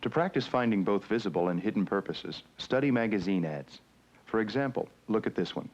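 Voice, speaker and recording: A man's voice: lowish voice, expressive, fairly quick. Male instructional narrator, muffled old film sound. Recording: muffled 1970s educational film soundtrack with music.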